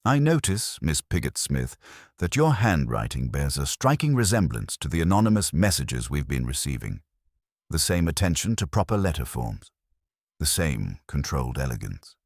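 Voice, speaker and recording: A man voicing a shrewd, courteous police inspector in an audiobook mystery, conversational, gentle, formal and unhurried. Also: extremely low pitch, big pitch swings, creaky, no room sound, clean studio sound, exaggerated voice. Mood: calmly accusing. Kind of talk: audiobook mystery character reading.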